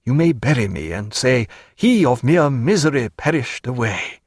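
A man voicing a wry, learned gentleman physician who speaks in a measured way. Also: medium pitch, very animated, husky, dry recording, clean studio sound.

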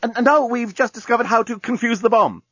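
A man voicing a brisk, indignant young woman lawyer in a comic sci-fi audiobook, exclamatory, firm and urgent. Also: extremely high pitch, a bit bright, slightly rough, no echo, dull audio, voice pushed up, full character voice. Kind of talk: comic science fiction audiobook.

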